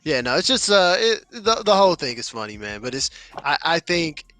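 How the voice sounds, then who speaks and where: A man's voice: a little high, very animated, brisk, pushed and bright, no echo. A man co-hosting a podcast, talking in a laid-back, casual way. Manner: laid-back, frequent agreeing. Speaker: podcast co-host. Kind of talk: casual discussion.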